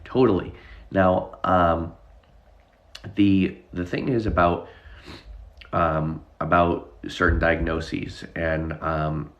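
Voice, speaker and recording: A man's voice: deep voice, fairly even intonation, slow, pauses often. A man with a nasal, congested voice from a chest cough, talking casually on a live stream as an anxiety therapist, full of fillers and restarts. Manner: rambling, many fillers and restarts. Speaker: anxiety relief therapist and hypnotist.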